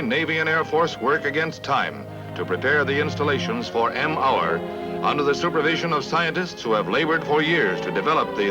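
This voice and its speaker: An American man narrates a 1950s newsreel in a somewhat high, declamatory voice. His delivery is formal and dramatic. The old recording is dull, with loud music swelling behind him.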